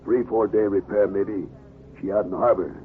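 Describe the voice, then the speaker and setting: A man's voice: lowish voice, steady tone, normal speed, natural voice. A man playing a loyal, stolid sidekick who speaks in clipped, broken English in an old-time radio adventure. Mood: stolid, watchful. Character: loyal, stolid male sidekick of a pirate hero. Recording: tinny band-limited vintage radio, hissy.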